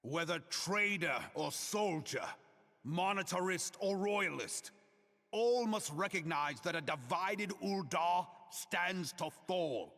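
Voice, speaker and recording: An actor playing a fierce, proud general who gives slow, solemn, declamatory speeches to rally a nation in a video game. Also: male voice, high-pitched, animated, a bit bright, heavily acted. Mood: grave warning. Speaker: military leader giving a rallying speech. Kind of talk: theatrical oratory. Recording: long reverberant hall echo.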